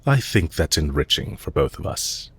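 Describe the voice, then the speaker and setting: A man playing a suave, calculating villain leader in an intimate audio roleplay, speaking to the listener politely and softly, with measured, lightly teasing courtesy. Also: a bass voice, big pitch swings, breathy edge, full character voice. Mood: composed, courteous.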